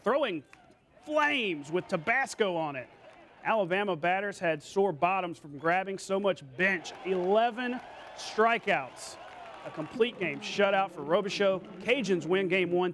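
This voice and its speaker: An American man anchoring a TV sportscast, calling highlights in a playful, colloquial, punchy way. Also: a bit bright, a little hiss or hum. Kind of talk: scripted sportscast with highlight commentary.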